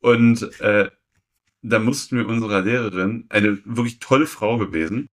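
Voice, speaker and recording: A young man co-hosting a podcast, telling personal stories casually and haltingly, often repeating phrases. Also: on the low side. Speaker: podcast co-host. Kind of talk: casual chat, personal storytelling.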